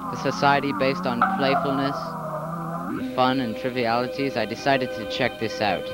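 A man's voice: medium pitch, fairly even intonation, normal speed, over loud music, natural voice. A man playing a sceptical, brooding traveller who narrates his journey in an amateur audio drama.

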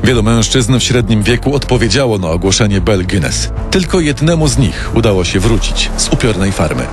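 A man's voice: fairly low pitch, animated, brisk. A man narrating a scripted true-crime story with dramatic storytelling delivery. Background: music.

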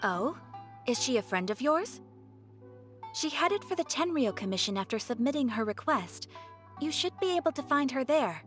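Polite, high-voiced guild receptionist. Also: female voice, big pitch swings, normal speed, faint music behind. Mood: helpful. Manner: polite, composed, formal. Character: polite, businesslike young female guild receptionist. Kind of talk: scripted video game dialogue.

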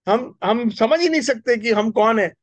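Middle-aged male temple speaker, bright high voice, animated and questioning, close dry mic. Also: moderate pace, dry recording. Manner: animated, gesturing, asks the audience questions. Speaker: spiritual speaker. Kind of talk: Hindi devotional lecture. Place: temple hall indoors. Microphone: stand mic close to mouth.

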